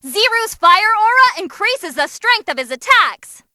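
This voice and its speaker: A woman voicing an excitable young female demon who commentates on tournament fights in a bubbly, theatrical way for a game. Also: very high-pitched, a little slow, dry recording, clean studio sound, exaggerated voice. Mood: excited, hyped.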